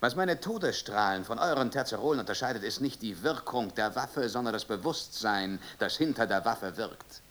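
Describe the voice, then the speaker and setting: A man's voice: fairly low pitch, expressive, normal speed, hardly any pauses, a bit bright. An actor playing an earnest, idealistic frogman from the future in a German radio play, with a declamatory delivery. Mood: moralizing. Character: earnest frogman from a morally superior future society.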